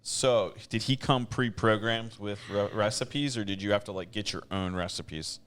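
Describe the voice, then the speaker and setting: A man's voice: fairly low pitch, moderate pace, a bit bright, clear, resonant tone. A young adult man hosting a casual podcast chat, asking curious, joking questions. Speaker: podcast host.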